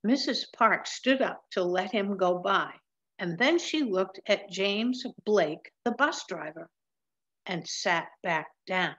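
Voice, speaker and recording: A woman in her seventies reading a picture book aloud in a deliberate way, with emphatic pauses. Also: medium pitch, animated, fairly bright tone, highs cut off. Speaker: storybook reader. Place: large room at home with wooden ceiling, indoors.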